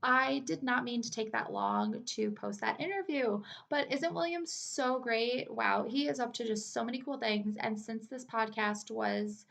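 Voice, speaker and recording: A woman's voice: on the high side, a little slow, vocal fry, hardly any pauses, fairly bright tone. A woman hosting a podcast in an enthusiastic, informal, chatty way. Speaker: podcast host. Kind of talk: casual chat.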